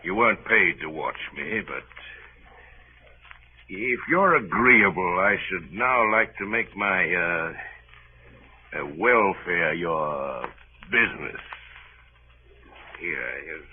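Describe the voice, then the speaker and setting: A man's voice: sits low, animated, slow, long pauses. A male actor in a 1930s radio drama playing a grandiose, unctuous Dutch passenger who declaims floridly like a preacher. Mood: oily, contrite. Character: fevered, grandiose, unctuous Dutch passenger posing as holy doctor. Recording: scratchy, tinny 1930s radio recording.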